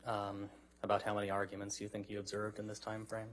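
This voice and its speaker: A man's voice: fairly low pitch, monotone, fast talker, a crowd in the background. A man questioning a witness as a lawyer in a courtroom. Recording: noisy courtroom broadcast feed.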